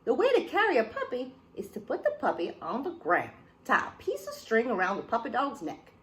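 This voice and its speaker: A shrill, exasperated mama possum from a picture book, put on by a woman reading to children. She scolds loudly in a high, swooping, sing-song voice, with lots of melody and big leaps in every line. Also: huge pitch swings, a little fast, a little hiss or hum. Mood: scolding.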